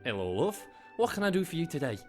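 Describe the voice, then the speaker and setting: A man's voice: on the high side, very animated, fast talker, soft background music. A man playing a chatty, gossiping barkeep who uses crude slang.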